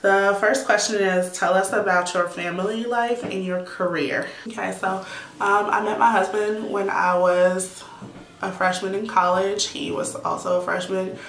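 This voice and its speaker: A woman's voice: medium pitch, a little slow, slight background noise. Chatty woman in her thirties, roomy sound. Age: 30s. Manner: chatty, relaxed, frequent "um" and "like". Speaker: YouTuber, teacher. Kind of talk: casual Q&A vlog. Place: indoor room at home.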